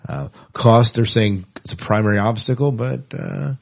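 A middle-aged man hosting a podcast, talking casually and with clear opinions about movie news. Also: mid-range voice, moderate pace. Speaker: podcast host.

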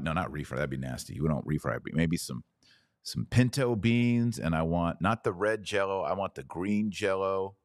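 A man's voice: deep voice, dry recording, clean studio sound. A man hosting a podcast, giving conversational religious commentary in an emphatic, colloquial, explanatory manner. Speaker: podcast host.

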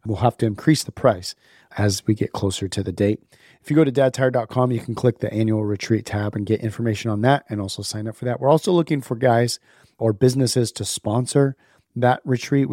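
A man with a hoarse, worn voice from being sick, casually opening a podcast and reading sponsor messages. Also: lowish voice, mellow, dry recording, clean studio sound. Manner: upbeat, chatty, laughs at himself. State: hoarse, sick, losing his voice. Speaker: podcast host.

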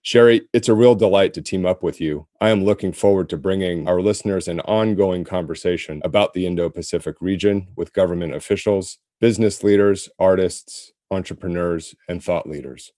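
A man with a low, deep voice hosts a podcast in a measured, formal manner. His tone is mellow and dark, and the sound is close and dry, with no room around it. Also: dry recording. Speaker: podcast co-host. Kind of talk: scripted podcast hosting. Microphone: remote call-in setup.

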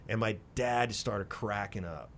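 A man's voice: a little slow, vocal fry, fairly bright tone. A middle-aged American man, a radio host, talking candidly and emphatically in an interview and repeating short phrases for punch. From raised in Singapore, later moved to the United States. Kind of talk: candid, conversational interview talk with storytelling. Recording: rumbly noise behind the voice.

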